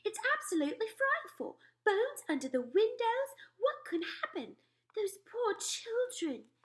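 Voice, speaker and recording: A refined queen with a high, sweeping voice that leaps between exclamations, as a woman reading a storybook puts her on. She speaks in upper-class, commanding tones, composed yet shaken. The words come quickly. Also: big pitch swings, exaggerated voice. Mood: alarmed.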